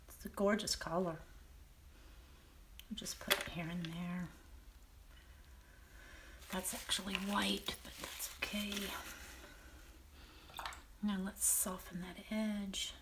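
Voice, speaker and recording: Soft raspy woman talking through painting. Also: mid-range voice, a little hiss or hum. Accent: Southern American. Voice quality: raspy, often half-whispered. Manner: unhurried, thinking aloud while painting, frequent throat clearing. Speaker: painting teacher. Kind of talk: painting demonstration.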